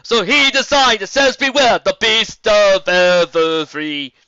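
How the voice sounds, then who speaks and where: A man voicing a mysterious female zebra bard who sings her warnings in slow, drawn-out rhyme, on a dull lo-fi recording. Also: sits high, pushed and bright, voice pushed up, heavily acted. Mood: ominous singing. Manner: sung, rhyming and slow.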